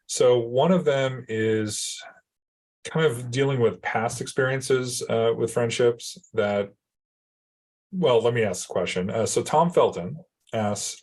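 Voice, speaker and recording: A man's voice: on the low side, a little mellow, slightly rough. A man moderating a webinar interview, responding briefly and reading out audience questions.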